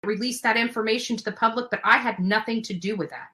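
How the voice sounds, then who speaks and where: Female livestreamer, high and forceful voice, indignant, confrontational, emphatic rant delivery. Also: fast. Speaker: livestream host.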